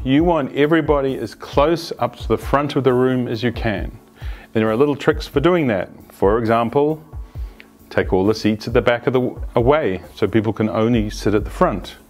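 Middle aged guy coaching to camera, emphatic and lively, lapel mic, faint music under. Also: medium pitch. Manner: animated, emphatic, gestures a lot. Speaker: agile coach. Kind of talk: direct-to-camera tips. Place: plain indoor studio, grey backdrop.

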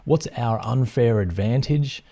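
Mellow-voiced man teaching project planning. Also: mid-range voice, measured pace. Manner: plain, steady teaching with frequent fillers. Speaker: project management instructor. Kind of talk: explanatory lesson over slides.

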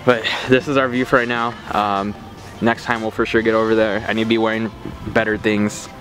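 A man's voice: on the low side, flat delivery, fairly quick, a bit bright, faint music behind, poor audio. A young man narrating a casual hiking vlog outdoors on a handheld camera, talking in a laid-back, slangy, rambling way. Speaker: vlogger. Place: outdoor hillside trail.

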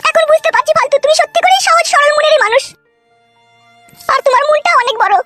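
A woman's voice: very high-pitched, fast, music in the background. A woman voicing a lovesick, pushy young daughter of a politician in an amateur comedy cartoon, pleading and coaxing. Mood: insistent, lovesick.

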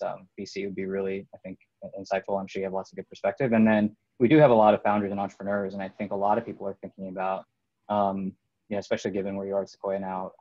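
A man's voice: fairly low pitch, darkish tone, dry recording. A man in his thirties casually moderating a conversation and posing questions on a video call. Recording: dull, band-limited call audio.

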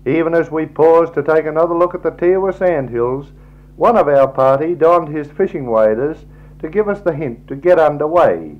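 A man with an Australian accent gives a measured, formal scripted travelogue narration in a 1960s style. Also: on the high side, expressive. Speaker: slideshow narrator. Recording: dull, lo-fi 1960s tape recording.

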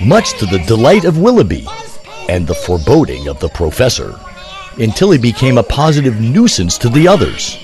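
A man's voice: fairly low pitch, a little mellow. A man narrating a scripted audio drama as a theatrical, wordy storyteller.

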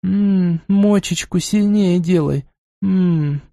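High-pitched young woman, done by a male narrator, clingy, playful, wheedling, drawn-out words, audiobook character. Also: vocal fry, dark tone, no echo, studio quality, exaggerated voice.

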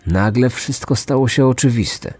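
A man's voice: mid-range voice, normal speed, a little mellow, slightly rough, no echo, soft background music. A man delivering scripted true crime documentary narration as a podcast narrator.

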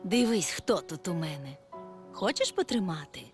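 A woman's voice: fairly low pitch, expressive, moderate pace, close to an everyday voice. A woman voicing friendly, caring female characters in a children's cartoon, with sing-song, encouraging talk to a small child. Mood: kindly. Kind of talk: children's cartoon dub acting. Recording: music behind the voice.

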